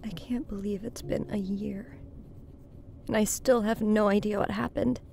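A woman's voice: medium pitch, steady tone, unhurried, vocal fry, mellow, a little hiss or hum. An actress playing a guarded, brooding young woman, a daughter in a naturalistic horror audio drama. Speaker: voice actor.

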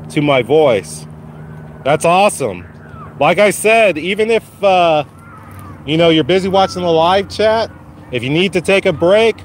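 Slightly raspy man live streaming outdoors. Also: high-pitched, a little hiss or hum. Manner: encouraging, repetitive, addresses viewers by name. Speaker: channel host. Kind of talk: casual live stream chat. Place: outdoors on a lawn walking a dog. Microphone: phone held while walking.

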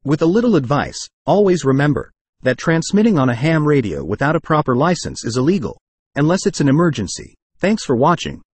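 Lively male product review narrator, close dry sound. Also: a little high, brisk, breathy edge, no room sound. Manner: promotional, read from a script.

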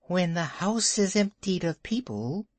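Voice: mid-range voice, animated, unhurried, dry recording, pitched above the performer's own voice. A storyteller voicing a cold, commanding female cobra who plots in an urgent, persuasive tone. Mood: conspiring.

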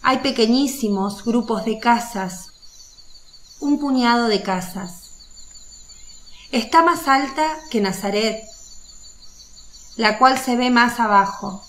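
A woman narrating a religious radio drama from a script, in a measured storytelling way. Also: a little high, a bit bright, a little hiss or hum.

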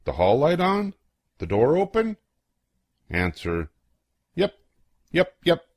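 An older man reading a children's picture book aloud in a playful, expressive way, acting out exclamations and questions. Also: a little high, takes it slowly, no room sound.

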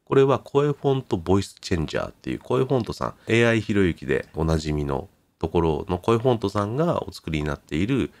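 A man's voice: low voice, big pitch swings, fast, hardly any pauses, darkish tone, dry recording. A middle-aged man streaming at a close microphone, casually explaining something to his viewers. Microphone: studio microphone and headset, close.

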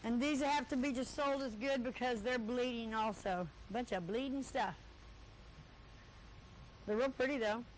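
Chatty high-voiced woman hosting a sale. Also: a little slow, pauses often, a little hiss or hum, poor audio. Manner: chatty, joking asides to the crew. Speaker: local TV auction host. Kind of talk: live cable TV sales patter. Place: cluttered indoor stockroom.

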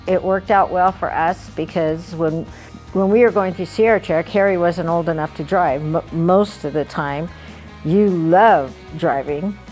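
A woman's voice: on the low side, darkish tone. An American woman in her seventies, casually reminiscing about family life in everyday conversation. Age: 70s. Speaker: wife recalling family trips. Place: outdoors on a driveway by an open garage.

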